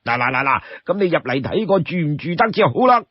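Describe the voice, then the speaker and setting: A man voicing an easygoing, money-minded old townsman in a Cantonese story, chatty and full of drawn-out interjections. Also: a little high, very animated, breathy edge, no room sound, highs cut off. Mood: obliging, eager.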